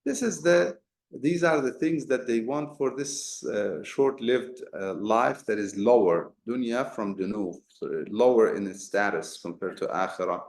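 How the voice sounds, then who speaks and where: A man's voice: on the low side, a little slow. A man teaching a Quran commentary class, explaining step by step with rhetorical questions and Arabic terms. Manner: mixes Arabic terms into talk. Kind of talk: explanatory religious lesson. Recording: echoey room.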